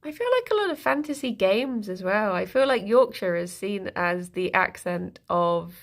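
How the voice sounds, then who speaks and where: A woman chats loosely with her live-stream audience, wandering between thoughts and laughing often. Her voice carries a gravelly fry, and her pitch sits in a middle range. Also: expressive, moderate pace. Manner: chatty and rambling, laughs often. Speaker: streamer reading chat. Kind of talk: casual live-stream chat.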